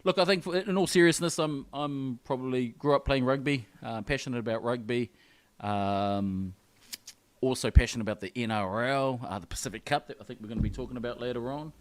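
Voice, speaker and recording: A man, co-hosting a sports podcast, playful and teasing, often halting on fillers. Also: medium pitch. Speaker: podcast co-host. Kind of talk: casual chat.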